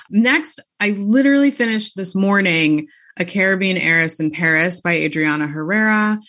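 A woman's voice: mid-range voice, moderate pace, a bit bright. A woman author and bookseller chatting as an interview guest, enthusiastic and full of fillers. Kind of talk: casual interview chat.

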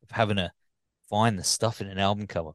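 A man's voice: on the low side, fairly even intonation, fast talker, dry recording, clean studio sound. A man, hosting a podcast interview in an informal, chummy, chatty way. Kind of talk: casual interview chat.

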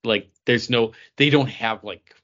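A man's voice: mid-range voice, unhurried, fairly bright tone. An American man chatting casually as a podcast co-host. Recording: dull, lo-fi audio.

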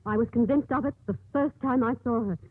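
An actress playing an earnest, stubborn, driven nun, intense and dramatic, in a 1950s radio drama. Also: female voice, fairly high pitch, fairly quick, breathy edge, no room sound. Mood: earnest, defensive. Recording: thin narrowband old radio recording.

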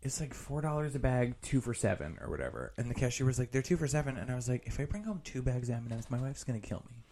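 A man's voice: mid-range voice, fast talker, hardly any pauses. A man in his thirties chatting casually as a podcast co-host, telling stories in an emphatic, comedic and sweary way. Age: 30s. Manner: animated, swearing, emphatic storytelling.

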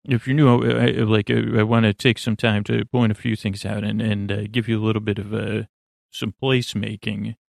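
Creaky-voiced man rambling sleepily. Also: on the low side, little pitch movement, darkish tone, no room sound, clean studio sound. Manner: meandering, halting, drifts off topic. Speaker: podcast host. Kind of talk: rambling bedtime monologue meant to lull listeners to sleep.

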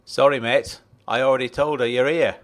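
A man voicing a man behind a shop counter, brisk and apologetic. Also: mid-range voice, steady tone, pitched above the performer's own voice, close to an everyday voice. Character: British betting-shop counter man, matter-of-fact.

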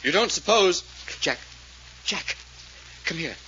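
An actor playing a proper, excitable English gentleman sidekick with a British accent, in polite, flustered 1940s radio style on a muffled old recording. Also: high voice, slow, noisy background. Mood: hushed, alert.

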